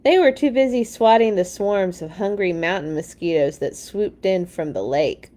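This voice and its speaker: A woman's voice: mid-range voice, normal speed, warm tone. A woman hosting a children's read-along, reading stories expressively and dropping in casual asides.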